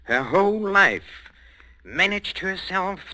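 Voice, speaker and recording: Meek, animated old-radio professor. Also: male voice, high voice, slow pace, heavily acted. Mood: brooding, bitter. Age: middle-aged. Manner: hesitant, stammering, mild. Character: meek henpecked middle-aged botany professor, secretly rebellious. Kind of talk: 1940s radio acting. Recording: vintage radio drama recording.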